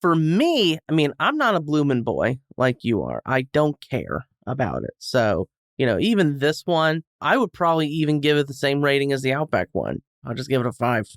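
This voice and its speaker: A man's voice: mid-range voice, huge pitch swings, normal speed, few pauses, dry recording. A man as a podcast guest, chatting casually about food with dry, joking humor. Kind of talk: casual food review chat.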